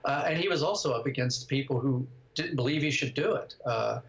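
A man's voice: medium pitch, a little fast, fairly bright tone. An older man, a guest on a video call, giving thoughtful interview answers. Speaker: guest writer and director. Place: home study indoors, over video call. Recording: dull video-call audio.

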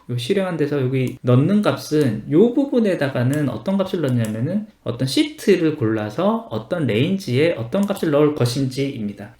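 A man narrating a step-by-step software tutorial in a polite, explanatory manner. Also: a little high, echoey room. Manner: explanatory, polite formal endings.

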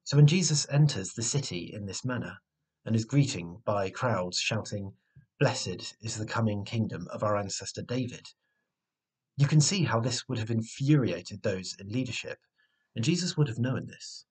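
A man in his twenties with a slightly husky voice, giving a measured, earnest sermon, recorded indoors at home on a device mic. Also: fairly low pitch, lively intonation, darkish tone, low-quality recording. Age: 20s. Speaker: preacher. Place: small room at home, indoors. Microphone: webcam or device mic at arm's length.

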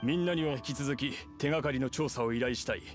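A male actor plays a decisive villager from a video game, middle in pitch. He sounds businesslike and brisk, with faint music underneath. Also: close to an everyday voice. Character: brisk adult male villager leading an investigation.

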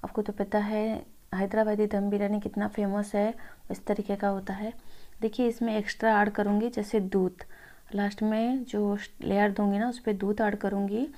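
A woman's voice: mid-range voice, flat delivery, moderate pace, dark tone. A woman home cook talking viewers informally through a recipe, addressing them as friends. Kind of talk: informal cooking tutorial voice-over.

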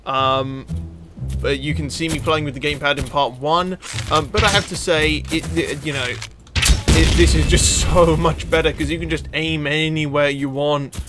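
Male gamer, unhurried and slightly high-pitched, casual play-by-play with an excitable streak, over prominent music. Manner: excitable exclamations, sweary banter. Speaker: gaming video creator. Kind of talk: casual gameplay commentary. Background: game music.

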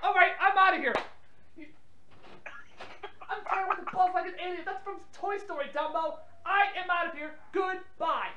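A woman's voice: very high voice, steady tone, moderate pace, background noise. A woman with an irritable, confrontational manner, bantering on a phone call in dull lo-fi audio. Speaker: prank call participant. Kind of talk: phone banter, reading out weather updates.

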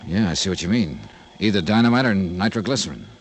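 A hard-boiled American investigator with a low, highly varied pitch. He is clipped, wry and laconic, in the style of vintage radio detective drama. The recording is clearly noisy. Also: animated, fast talker. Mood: terse, probing. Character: tough, laconic American insurance investigator narrating his case. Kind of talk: 1950s radio drama narration and acting. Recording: noisy old radio broadcast.

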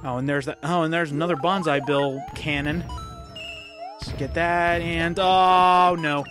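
A hoarse gameplay commentator, losing their voice to a cold, chatting casually and exclaiming at what happens on screen. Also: medium pitch, fairly even intonation, measured pace. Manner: chatty, exclaims at game events. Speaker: let's play commentator. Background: faint game music.

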